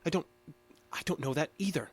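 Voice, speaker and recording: A man playing a troubled, frightened patient in a horror audio drama, halting and confused, with a husky voice. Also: fairly high pitch. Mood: dazed. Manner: halting, bewildered, stammering.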